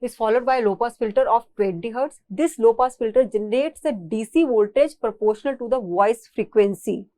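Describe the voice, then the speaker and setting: A woman's voice: on the high side, fairly quick, few pauses, mellow, no echo. A woman with an Indian accent giving a technical engineering lecture, explaining step by step and often repeating and rephrasing her phrases.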